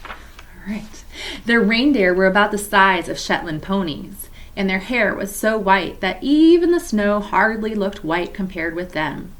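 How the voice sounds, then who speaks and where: A woman reading a chapter book aloud to children for a church, adding gentle, chatty asides to her young listeners. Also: mid-range voice, expressive, a little slow. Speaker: children's story reader at a church.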